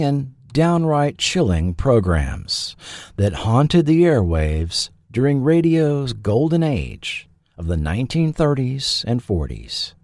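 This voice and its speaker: Guy narrating a documentary, slow deliberate dramatic storyteller, very dry sound. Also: mid-range voice, no echo. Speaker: host and narrator.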